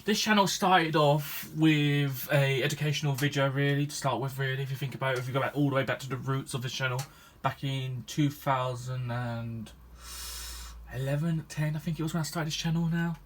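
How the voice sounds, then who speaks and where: Young man, 21, casual gaming vlog, mid-pitched voice, halting delivery with frequent restarts. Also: fairly even intonation, a little hiss or hum. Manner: rambling, hesitant, many restarts and ums. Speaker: gaming YouTuber. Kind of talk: casual vlog chat to viewers.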